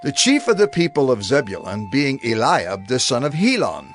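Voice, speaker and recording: Animated male scripture reader, faint music. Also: medium pitch, moderate pace. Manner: formal, proclaiming. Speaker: narrator.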